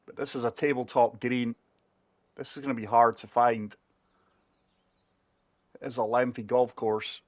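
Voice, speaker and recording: A man's voice: mid-range voice, steady tone, unhurried, pauses often, no echo. Short-winded man commentating golf. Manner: chatty, talks viewers through each shot. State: chest infection, short of breath. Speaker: gaming channel host. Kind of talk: casual golf game commentary.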